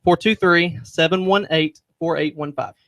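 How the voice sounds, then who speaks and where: A man talking as an insurance agent guest on a radio show, making a conversational pitch. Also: fairly even intonation, moderate pace, a bit bright, no room sound.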